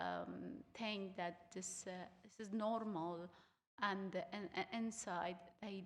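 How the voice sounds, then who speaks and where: A young woman from Afghanistan whose first language is Uzbek, speaking haltingly with many fillers as a students' union equity officer on a theatre panel. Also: medium pitch, creaky, long reverb tail. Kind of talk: panel talk on stage. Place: theatre stage.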